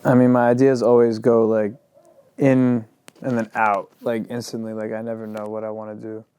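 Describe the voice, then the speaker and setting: A man's voice: fairly low pitch, level tone, moderate pace, dark tone, smooth. A young man, a rapper and singer, talking casually in an interview, rambling and filling his sentences with "like". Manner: loose and rambling, heavy on "like".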